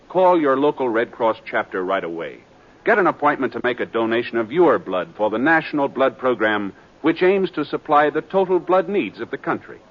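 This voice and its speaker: Man announcer reading a public service plea, earnest, dull old radio sound. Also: mid-range voice. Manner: earnest, measured announcer delivery.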